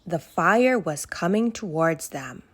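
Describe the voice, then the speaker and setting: A woman narrating a bedtime story aloud for children in an expressive way that speaks directly to young listeners. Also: fairly low pitch, slow.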